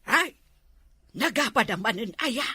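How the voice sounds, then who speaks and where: A woman playing an excitable, nosy village woman in a comedy drama, with a Filipino accent. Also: a little high, takes it slowly, slightly rough, heavily acted. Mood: gossipy.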